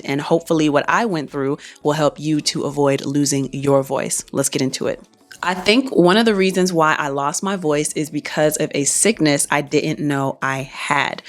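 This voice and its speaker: A young woman with a low voice talks straight to her viewers in a relaxed, chatty way. She is a singer, and she is still getting her speaking voice back. She explains things plainly and reads some notes aloud. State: recovering voice, partly lost speaking voice. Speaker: singer and vlogger. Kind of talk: casual talk to camera.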